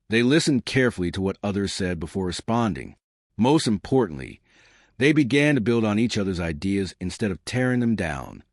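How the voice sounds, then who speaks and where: A man's voice: fairly low pitch, no echo, clean studio sound. A man reading a scripted science fiction story as an audiobook-style narrator.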